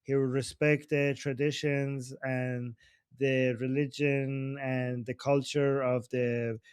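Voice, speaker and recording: A man's voice: medium pitch, little pitch movement, slow pace, clear, resonant tone, no echo, studio quality. A man from Iran hosting a casual political discussion, joking and teasing, laughing often. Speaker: host.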